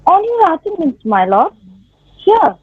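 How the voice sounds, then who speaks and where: A woman's voice: extremely high pitch, very animated, measured pace, no echo, full character voice. A woman playing a devoted young page in a theatrical Shakespearean dramatic reading, earnest and deferential. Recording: tinny phone-like, dry close sound.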